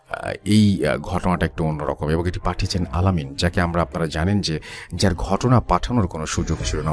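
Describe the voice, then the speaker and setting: A man presenting a show as host in a casual, chatty, informal way, mixing English words into his talk. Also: deep voice.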